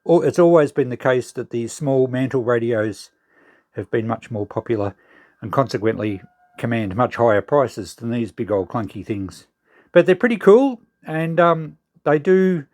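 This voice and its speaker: Male Australian vlog host, medium pitch, informal and musing, very little room sound. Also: darkish tone, dry recording, clean studio sound. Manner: musing, thinking aloud. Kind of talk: casual narrated walkthrough of a shed clean-out.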